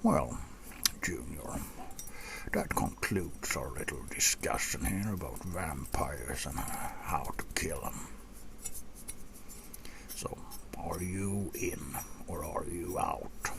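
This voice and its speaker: A man playing a gruff, seasoned vampire hunter in a soft-spoken ASMR roleplay, half-whispering and muttering slowly to a rookie with casual asides. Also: a bass voice, animated, heavily acted. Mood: gruff, appraising. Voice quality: gravelly, breathy half-whisper. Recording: clearly audible background noise.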